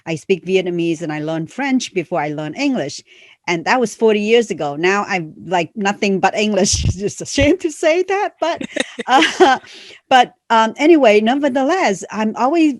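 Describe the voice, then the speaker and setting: A woman's voice: mid-range voice, lively intonation, normal speed, no room sound. Earnest woman, English not her first language. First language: a Chinese dialect. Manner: earnest, non-native phrasing, frequent fillers. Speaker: memoir coach and author. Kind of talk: casual conversational promotion.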